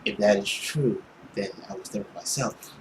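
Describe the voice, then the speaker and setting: A man voicing a brooding, cynical, tormented man in a visual novel, slow, hushed and solemn. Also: on the low side, background noise, voice pushed down, close to an everyday voice. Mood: brooding, weary. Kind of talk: dramatic visual novel reading.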